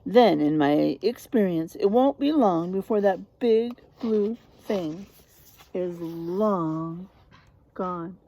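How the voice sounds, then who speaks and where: A woman voicing a wise old storybook owl who deliberately sets out a cunning plan step by step. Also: on the low side, expressive, dark tone, no echo, full character voice. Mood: deliberate, scheming. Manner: deliberate, instructive, counting off steps.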